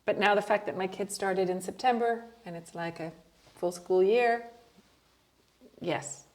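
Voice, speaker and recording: A middle-aged woman from Canada whose first language is French, talking expressively in a relaxed interview, with frequent laughs and thoughtful restarts. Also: mid-range voice, unhurried. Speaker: guest. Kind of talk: casual conversational interview.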